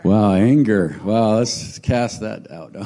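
Guest pastor, deep mellow voice going a bit hoarse, lots of uh's, echoey church hall. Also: male voice. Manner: warm, halting with many fillers. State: losing his voice. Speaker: visiting pastor. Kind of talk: sermon with opening prayer.

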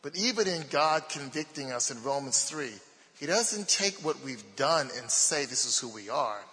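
A man's voice: medium pitch, lively intonation, normal speed, bright, forceful tone, long reverb tail, low-quality recording. A man with a Baltimore accent, a pastor leading a panel discussion and teaching through repeated points.

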